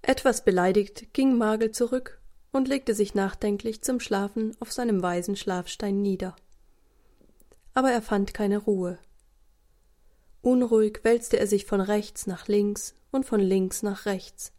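A woman's voice: mid-range voice, steady tone, measured pace, dark tone. A woman narrating a scripted children's story as a storyteller.

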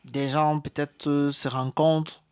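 A man's voice: a little high, little pitch movement, a little slow, darkish tone, smooth. A man from Cameroon with a Cameroonian French accent, giving a personal testimony in an interview, hesitant with many fillers and restarts. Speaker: interviewee, asylum seeker.

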